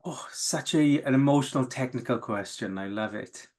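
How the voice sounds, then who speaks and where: A man from the South Wales valleys, a Welsh speaker first, talking openly and reflectively as an interview guest, with many pauses and restarts. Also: medium pitch, a little mellow. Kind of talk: candid, emotional interview.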